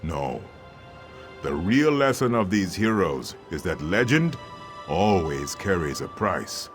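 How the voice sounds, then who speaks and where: A man playing a wise, devout mentor in a fantasy game, speaking in an expressive, reverent and measured way. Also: low-pitched, soft background music, exaggerated voice. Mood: earnest counsel. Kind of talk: scripted fantasy game acting.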